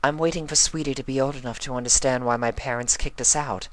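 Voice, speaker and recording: A man's voice: medium pitch, fairly even intonation, fast, a bit bright, no echo, pitched above the performer's own voice, natural voice. A man voicing a refined, dramatic female pony with dainty, genteel phrasing in a storybook reading. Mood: anxious. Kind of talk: storybook character voice in fan fiction reading.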